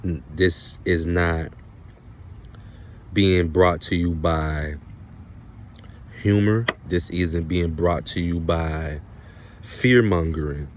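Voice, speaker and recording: A man delivering an unscripted spiritual monologue to viewers, halting and emphatic, often repeating his phrases. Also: deep voice, slight background noise. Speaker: spiritual video creator.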